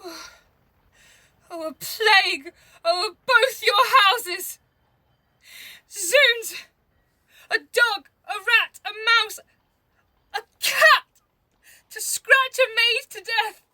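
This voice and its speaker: A woman's voice: very high-pitched, drawn-out words, long pauses, pushed and bright, no room sound, studio quality, exaggerated voice. A woman playing a mortally wounded, bitterly witty young brawler in a Shakespeare audio drama, theatrical and gasping. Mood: furious, anguished.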